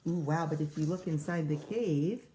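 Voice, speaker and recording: A woman's voice: sits low, normal speed, a little hiss or hum. Woman teacher reading a picture book aloud. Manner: expressive, explanatory, child-directed. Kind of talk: picture-book read-aloud with casual asides.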